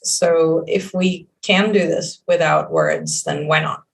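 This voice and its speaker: Young hebrew-speaking woman, gravelly voice, rambly w lots of uhs, sounds a bit far from the mic. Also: sits low, big pitch swings, slow. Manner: rambling, many fillers and restarts. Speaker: game creative director. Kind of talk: casual interview answers.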